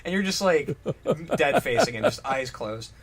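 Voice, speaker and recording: A man's voice: medium pitch, lively intonation, a little slow. A man doing a casual comedic reading with riffing banter, sweary and incredulous, often breaking up laughing. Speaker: co-host of a comedic dramatic reading.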